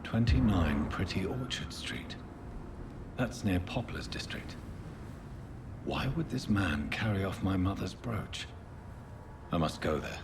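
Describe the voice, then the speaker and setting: A man with an English accent playing a brooding, haunted gentleman doctor turned vampire in a video game, speaking gravely and formally. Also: deep voice, animated, slow, pauses often, noisy background, exaggerated voice. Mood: grim, investigating. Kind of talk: dramatic game voice acting.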